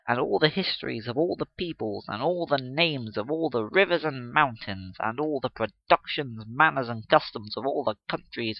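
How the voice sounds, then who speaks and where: A male amateur narrator reads classic fiction in a dramatic storytelling style. His pitch is middling, and the home recording is dry, close and a little dull. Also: dry recording. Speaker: amateur audiobook reader. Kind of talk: dramatic reading of a classic novel.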